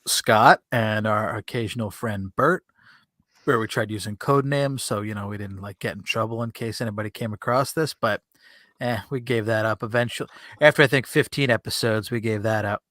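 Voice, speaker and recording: A man's voice: on the low side, moderate pace, a bit bright, no room sound. A man hosting a podcast in casual banter, chatty, informal and playfully argumentative, close on a desk microphone. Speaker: podcast host. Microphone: close USB desk microphone on an arm with pop filter.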